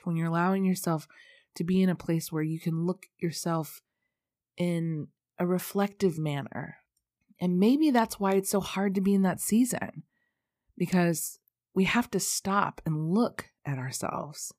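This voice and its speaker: A woman's voice: fairly low pitch, a little mellow, dry recording, clean studio sound. A woman hosting a reflective solo podcast, earnest and self-deprecating, who laughs often and sounds congested. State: stuffy, congested. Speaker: podcast host.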